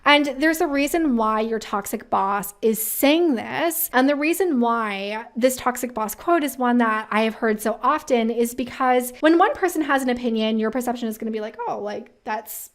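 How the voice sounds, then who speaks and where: A woman's voice: on the high side, moderate pace, few pauses. A woman giving casual, chatty commentary as a video host, opinionated and informal with slangy asides. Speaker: career advice video host.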